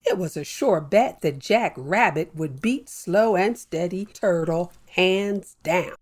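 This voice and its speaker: A woman hosting a children's story show, telling a folktale with a lively, playful delivery and drawn-out phrasing. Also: lowish voice. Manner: warm animated storyteller with slow deliberate pacing. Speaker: storyteller host. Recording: soft music bed behind.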